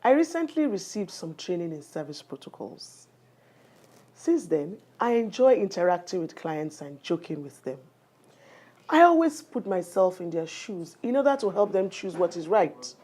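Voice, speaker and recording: Bossy, patronizing Nigerian nurse. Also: female voice, on the low side, big pitch swings, moderate pace, warm tone, slightly rough. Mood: self-satisfied. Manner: patronizing, talks down with exclamations and tags. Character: bossy, condescending female family planning nurse, patronizing and chatty. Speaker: nurse in an educational radio play. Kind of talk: radio drama acting.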